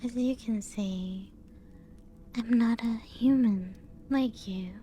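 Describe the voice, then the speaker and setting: Woman as teasing female vampire castle guide, ASMR roleplay. Very slow, hushed delivery, higher-pitched. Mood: teasing, veiled menace. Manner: slow, hushed, often breaking into whispers and soft chuckles. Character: playful, teasing female vampire showing guests her ancient castle. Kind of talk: soft-spoken ASMR roleplay. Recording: music playing behind the voice. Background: background music.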